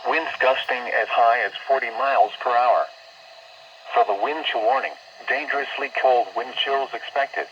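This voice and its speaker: A synthetic male voice reads a scripted weather bulletin in a stiff, measured way, heard as a radio broadcast. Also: mid-range voice, highs cut off. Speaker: automated weather radio announcer. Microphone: radio receiver speaker picked up by recorder. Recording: band-limited, noisy weather radio speaker.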